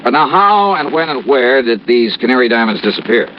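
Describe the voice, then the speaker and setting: A brash, shrewd American investigator played by a male radio actor, wry and punchy, with a very animated delivery. It is classic 1950s detective radio drama, heard through a dull old recording. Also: medium pitch, brisk, slight background noise, natural voice. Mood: suspicious, pressing. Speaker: lead actor and narrator of radio detective series.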